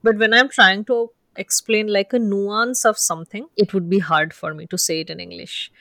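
A woman's voice: mid-range voice, fairly even intonation, normal speed, creaky, bright, forceful tone, dry recording. Reflective Bengali-speaking woman, dry sound. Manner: reflective, musing. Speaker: podcast co-host. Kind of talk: casual chat.

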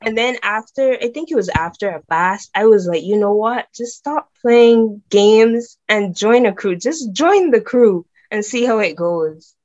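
A young woman from Barbados, chatting excitedly as a guest on a video call. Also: medium pitch, measured pace, few pauses, lo-fi sound. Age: young adult. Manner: bubbly, excitable, laughs often. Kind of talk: casual video-call chat.

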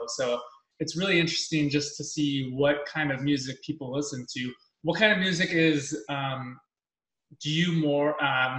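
A man in his thirties hosts a relaxed podcast chat. His voice is bright, and he rambles with many fillers and restarts. The room around him adds a clear echo. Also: mid-range voice. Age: 30s. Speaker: podcast host. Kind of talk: casual interview.

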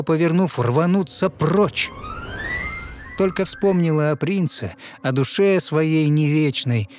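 Male storyteller, fairly high voice, slow measured verse, dramatic pauses, expressive, music underneath. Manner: measured, expressive, pausing between short phrases. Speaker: narrator. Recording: studio narration over music.

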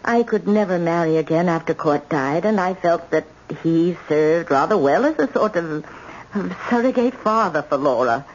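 A woman's voice: on the low side, lively intonation, moderate pace, close to an everyday voice. An actress playing a tough, proud older grandmother in an old-time radio drama, with dramatic, emphatic delivery. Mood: grave, confiding. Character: tough, proud widowed grandmother confessing family tragedy. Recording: muffled, noisy old radio recording.

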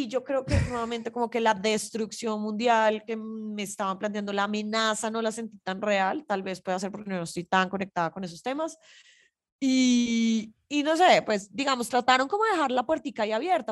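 A woman's voice: fairly high pitch, moderate pace. A woman with a Colombian accent co-hosting a podcast in casual, informal conversation as she gives her review. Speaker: podcast co-host.

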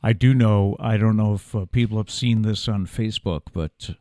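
A man's voice: lowish voice, fairly even intonation, normal speed, dark tone, no echo, studio quality. A man in his fifties with an American accent, hosting a morning show with news and casual talk. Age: 50s.

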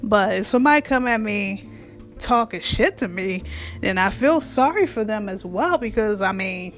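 A woman with a raspy voice and speech, hosting her own show in a blunt, frank monologue that swears freely. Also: mid-range voice, lively intonation, normal speed, faint music behind. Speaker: podcast host. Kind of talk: casual monologue.

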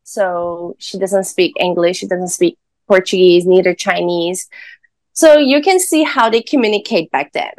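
A woman's voice: lowish voice, a little slow, a little mellow, no echo. A woman from Macau, a podcast guest, chatting cheerfully and easily, laughing often. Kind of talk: casual interview chat.

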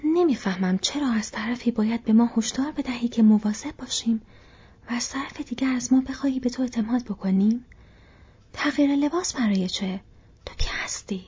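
A woman's voice: a little high, mellow, breathy edge, pitched above the performer's own voice. A woman voicing a wary young male hobbit in a whispery, hesitant, questioning way. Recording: dull lo-fi recording.

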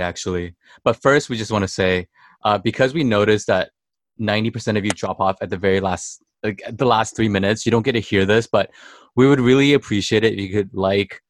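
A man's voice: on the low side, no room sound. A young man co-hosting a podcast in casual chat, playful and laughing often, whose first language is Chinese. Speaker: podcast co-host.

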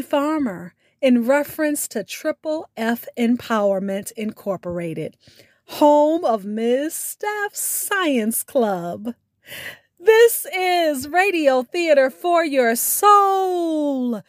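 A woman podcast host reading a scripted sign-off in deliberate, measured phrases with emphatic words. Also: high voice, no echo, studio quality. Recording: music playing behind the voice.

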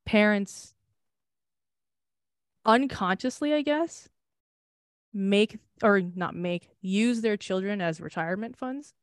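A young woman co-hosting a casual podcast, talking in long, loose, candid stretches. She swears often and laughs easily. Her pitch sits in the middle for a woman, and the recording is dry and close. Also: slow, long pauses, dry recording. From United States. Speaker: podcast co-host. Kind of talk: casual chat.

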